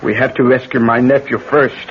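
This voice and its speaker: An actress playing an older, vengeful Obeah sorceress and aunt in a 1970s radio drama, speaking in solemn, commanding incantations. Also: female voice, rumbling low, fairly even intonation, slight background noise. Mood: grave, determined. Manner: slow, incantatory, solemn delivery. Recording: dull vintage radio recording with music.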